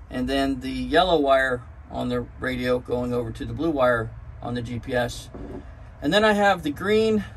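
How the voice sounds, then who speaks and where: A man's voice: mid-range voice, poor audio. A middle-aged man with an American accent giving a casual hands-on tutorial, explaining plainly step by step. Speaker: presenter of a do-it-yourself boat video. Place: on and around a boat outdoors, and at a workbench.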